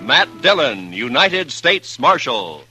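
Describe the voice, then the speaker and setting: A man's voice: medium pitch, very animated, slow pace, loud music behind the voice. Man, dramatic vintage radio announcer. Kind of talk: dramatic radio show opening.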